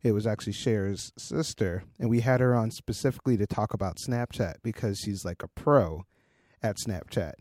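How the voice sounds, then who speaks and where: A hoarse man co-hosting a casual tech podcast. He laughs often and tells loose, rambling stories. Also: on the low side, moderate pace, a little mellow, dry recording, clean studio sound. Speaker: podcast co-host, independent designer and developer. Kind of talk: casual chat.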